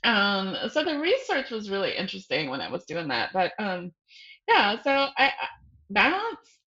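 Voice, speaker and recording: A woman's voice: mid-range voice, wildly swooping pitch, moderate pace, pushed and bright. A woman speaking as a guest author in a casual interview, conversational and thinking aloud, with self-deprecating laughs. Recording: slightly dull, muffled audio.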